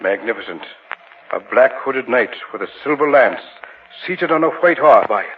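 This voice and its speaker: An actor playing a confident, urbane gentleman friend in an old radio horror drama, speaking in a grand, jovial way. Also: medium pitch, expressive, moderate pace, slightly rough. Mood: assured, admiring. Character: hearty, urbane male friend, confident occult sceptic turned intrigued. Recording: tinny old radio recording with hiss.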